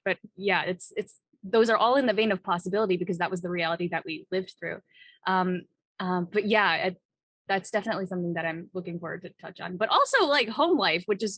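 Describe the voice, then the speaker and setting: Bright-voiced woman chatting loosely. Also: mid-range voice, dry recording. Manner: informal, filler-heavy, loose phrasing. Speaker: panelist. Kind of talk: casual chat.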